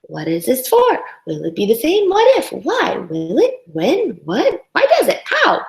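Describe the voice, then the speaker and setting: Woman voicing a curious little girl, high and insistent. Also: huge pitch swings, unhurried, vocal fry, full character voice. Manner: insistent, wide-eyed questioning. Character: relentlessly curious little girl asking questions. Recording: echoey room.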